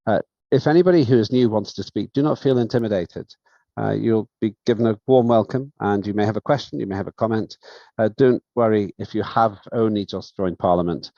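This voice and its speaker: A man chairing a parliamentary session over a video call, speaking in a measured, halting way with many fillers. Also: lowish voice, vocal fry, warm tone, no echo. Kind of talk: formal remarks at an online session. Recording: dull, dry lo-fi sound.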